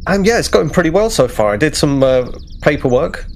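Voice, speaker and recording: A man's voice: fairly high pitch, very animated, a little fast, gravelly, voice pushed up. A man playing a friendly, slightly awkward male starship officer in a tabletop game, speaking hesitantly and politely.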